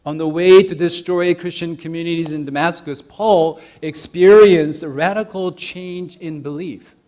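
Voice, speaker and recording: A young man delivers a sermon in a fairly high male voice. He speaks in deliberate, short phrases and leans on key words for emphasis. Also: a little mellow. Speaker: preacher. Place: church sanctuary.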